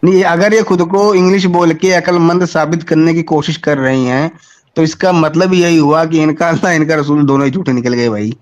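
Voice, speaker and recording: A man's voice: fairly high pitch, fairly even intonation, normal speed. A man arguing in a heated online debate, sarcastic and mocking. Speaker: debater on a live stream.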